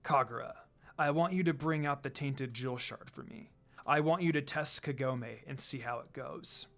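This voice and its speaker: A man performs a cold, scheming villain for a fan fiction story. He speaks in a stern, measured, commanding manner, lower and quieter than the narration. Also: gravelly. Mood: menacing, commanding.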